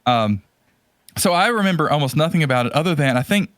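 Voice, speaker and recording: A man's voice: fairly high pitch, animated, fast talker, fairly bright tone, dry recording. Rambling man in dry, close-sounding podcast chat. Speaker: podcast host. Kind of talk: casual chat about retro games and movies.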